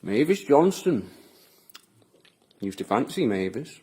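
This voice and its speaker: Husky, whimsical man. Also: mid-range voice, very animated, slow, dark tone, exaggerated voice. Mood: playful, teasing. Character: playful, nostalgic, stubborn local man exploring old tunnels. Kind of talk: audio drama acting.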